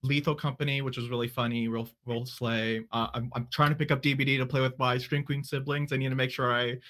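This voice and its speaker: A man's voice: mid-range voice, level tone, brisk, a bit bright. A man speaking as a guest on a casual panel. He talks in a loose, rambling way, often backing up and restarting his sentences in a mid-range voice. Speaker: panelist, drag king streamer.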